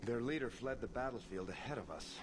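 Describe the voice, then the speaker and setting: An actor playing a proud young knight soldier in a video game, speaking in a formal, clipped way. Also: male voice, mid-range voice, lively intonation, a little fast, noisy background, lightly acted. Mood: brisk.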